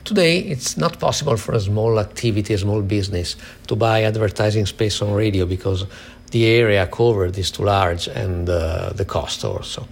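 A man hosting an interview, asking questions in a conversational way with a halting, think-aloud delivery. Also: fairly low pitch, creaky, a little hiss or hum. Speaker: interviewer and host.

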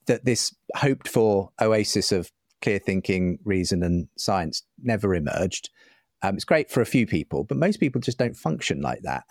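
Male interview guest, fairly low pitch, articulate and thoughtful delivery, dry close sound. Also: darkish tone, no echo. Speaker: podcast guest, writer and broadcaster. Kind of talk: conversational interview.

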